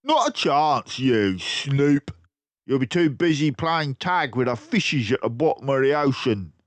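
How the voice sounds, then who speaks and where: A man voicing a menacing mouse gangster in a storybook, taunting in rough slang. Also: medium pitch, sing-song, a little slow, no room sound, voice pushed up. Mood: gloating. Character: menacing, jeering male mouse gangster villain.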